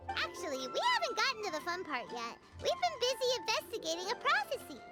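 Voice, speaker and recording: Tiny, very high-pitched chirpy fairy companion. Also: female voice, wildly swooping pitch, quick pace, exaggerated voice. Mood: chirpy, explaining. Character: small, chirpy floating fairy companion, eager and bossy. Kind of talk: English video game voice acting. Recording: loud music underneath.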